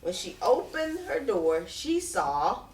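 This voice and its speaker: Lady doing a kids book read aloud, super expressive, echoey and kinda far from the mic. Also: mid-range voice, slight background noise. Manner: expressive, dramatic pauses and drawn-out words. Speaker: picture book reader. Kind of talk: animated children's read-aloud storytelling. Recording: roomy echo, distant from microphone.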